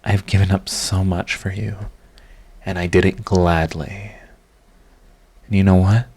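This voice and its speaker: A man playing a proud yet tender, lovestruck villain in a romantic ASMR audio roleplay, speaking in a halting, intimate, earnest way. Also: low-pitched, fairly even intonation, a little mellow, husky, heavily acted. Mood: earnest, defiant.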